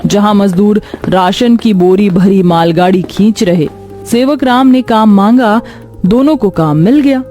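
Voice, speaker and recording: Mellow female story narrator. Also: mid-range voice, unhurried, faint music behind. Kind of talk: scripted cartoon story narration.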